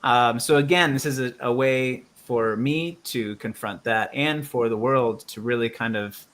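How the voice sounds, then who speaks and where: A man hosting a reflective conversation in a bright, forward voice. He speaks earnestly and thoughtfully, working through his ideas aloud with many ums and you-knows. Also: medium pitch, a little slow. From United States. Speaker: musician and show host.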